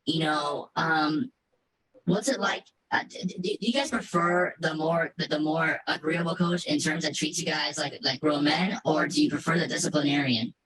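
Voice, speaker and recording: A man with a robotic voice effect asking halting, wordy questions as a sports interviewer. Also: fairly high pitch, fairly even intonation, a crowd in the background, rough recording. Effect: robotic voice modulation. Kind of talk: conversational interview.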